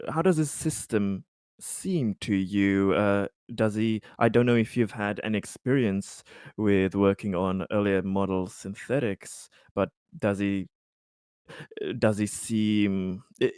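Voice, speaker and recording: Man, low voice, close dry sound, halting, formal phrasing, improvised roleplay dialogue. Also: a little mellow, no room sound. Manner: deliberate, halting, formal wording. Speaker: tabletop roleplaying player. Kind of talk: in-character improvised game dialogue.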